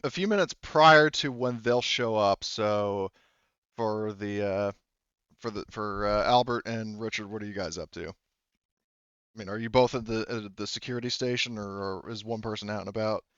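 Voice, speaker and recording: A man running a tabletop roleplaying game as game master, narrating casually in a halting, hesitant way. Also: lowish voice, a bit bright, clean tone, no room sound. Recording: slightly dull, dry close recording.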